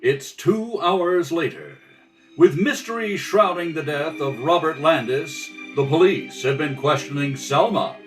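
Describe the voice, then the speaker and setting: Man as a higher-pitched, lively radio announcer. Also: poor audio. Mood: dramatic narration. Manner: courteous, presentational. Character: polite, folksy radio announcer selling soap flakes. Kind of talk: scripted 1930s radio announcing.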